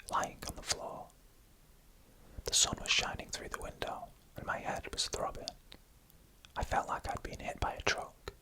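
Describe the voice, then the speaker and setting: A storyteller whispering an eerie first-person tale in ASMR style, unvoiced and breathy, with deliberate, suspenseful phrasing. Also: low-quality recording.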